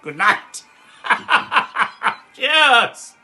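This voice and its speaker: A man's voice: extremely high pitch, big pitch swings, extremely slow, sharp tone. Fields: Sex: man. Style: rambling comic bedtime story. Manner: chuckling, meandering asides.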